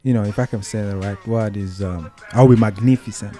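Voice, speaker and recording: A man's voice: on the low side, fairly even intonation, a little fast, warm tone, faint music behind. A young man from Kenya chatting casually and playfully as a student guest in an interview, using slangy speech. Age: young adult. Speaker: student guest on a radio show.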